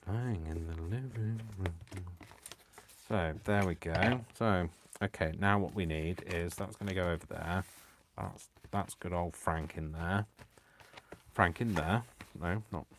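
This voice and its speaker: A man's voice: deep voice, measured pace, a little hiss or hum. A man hosting a live crafting stream, chatting to himself as he works and poking fun at his own mistakes. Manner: self-mocking, talks to himself. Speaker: crafting video host.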